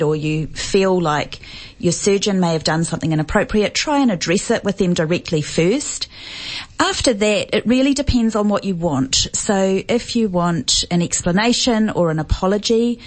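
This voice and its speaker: A woman from New Zealand with a New Zealand accent, calmly explaining her research as a guest on a radio talk show. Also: medium pitch. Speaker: health complaints researcher, studio guest. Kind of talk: radio interview.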